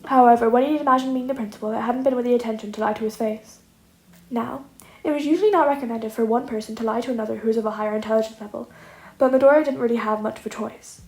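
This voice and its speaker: A woman's voice: a little high, racing, darkish tone. A woman reading scripted comic fiction aloud as a story narrator. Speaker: narrator, podfic reader. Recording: echoey room, audible noise.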